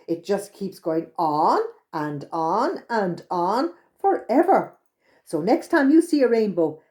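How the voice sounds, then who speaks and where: A woman's voice: mid-range voice, warm tone. A woman with an Irish accent leading a children's storytime, speaking simply and encouragingly straight to her young listeners. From Ireland. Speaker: library storytime host leading songs and exercises.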